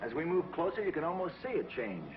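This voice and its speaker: A steady rocket-pilot hero. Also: male voice, on the high side, fast. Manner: calm, matter-of-fact. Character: heroic male rocket pilot, steady and confident. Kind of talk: 1950s TV sci-fi acting. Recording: dull old 1950s TV soundtrack, music behind.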